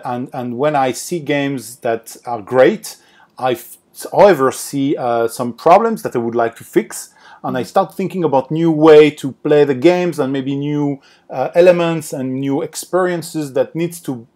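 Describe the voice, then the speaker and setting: Male guest, French accent, mid pitch, hesitant and searching for words. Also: unhurried, a little mellow. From France. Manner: hesitant, many fillers and restarts. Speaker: game designer guest. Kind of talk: podcast interview.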